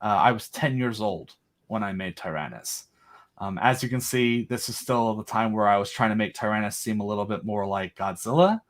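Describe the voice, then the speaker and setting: A man's voice: on the low side, moderate pace. A man as an interview guest, eagerly explaining his own creative project in casual, rambling chat. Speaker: guest, creator of an audio drama.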